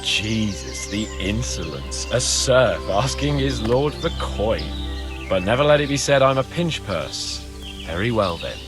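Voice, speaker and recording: A man's voice: on the low side, very animated, a little slow, music in the background. A man playing a boastful, pompous young nobleman in a medieval video game cutscene, mocking and full of theatrical exclamations. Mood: grudging, teasing.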